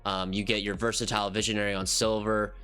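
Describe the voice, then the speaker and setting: Man, low-pitched, sharp bright tone, nasal from a cold, rambling game commentary with ums. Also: fast, faint music behind. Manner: relaxed, rambling, frequent ums and restarts. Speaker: gaming channel host. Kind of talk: casual game commentary walkthrough.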